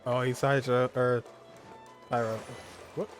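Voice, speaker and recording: A man's voice: mid-range voice, level tone, measured pace, music in the background. A man giving casual, teasing gameplay commentary in a slangy way. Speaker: streamer playing a game.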